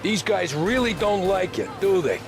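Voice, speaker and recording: An actor playing a working-class American cab driver in a crime game, wary but wry, with a streetwise, plain-spoken way of talking. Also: male voice, high-pitched, lively intonation, normal speed, over music, a crowd in the background. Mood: wry, plucky. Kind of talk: cinematic game voice acting.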